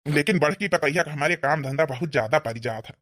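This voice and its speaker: A man's voice: fairly high pitch, fast talker, a bit bright, lightly acted. A man voicing an old, plain-spoken, easygoing village father-in-law with an Awadhi accent in a comedy cartoon. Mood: content. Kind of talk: rural family comedy cartoon dialogue.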